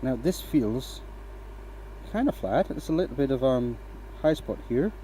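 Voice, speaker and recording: A man's voice: medium pitch, unhurried, a little hiss or hum, rough recording. Danish man talking through woodturning. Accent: light Danish, mostly a mix of English accents picked up online. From Denmark. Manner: relaxed, explains as he works, asks "right?" often. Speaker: woodturning livestream host. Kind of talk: casual live-stream talk while working. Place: workshop.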